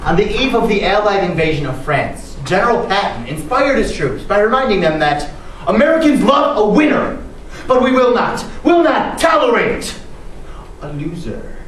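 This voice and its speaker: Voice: mid-range voice, very animated, moderate pace, natural voice. A performer acting as a dramatic young storyteller of summer camp, declaiming emphatically in a readers theatre piece. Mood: grandly emphatic. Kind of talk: theatrical group storytelling on stage. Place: large room or hall, distant mic. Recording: echoey hall from far off, faint noise.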